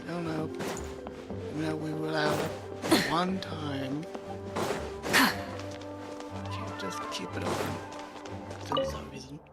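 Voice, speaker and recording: Low-voiced woman casually commentating a game. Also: expressive, extremely slow, long pauses, loud music behind the voice. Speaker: game streamer.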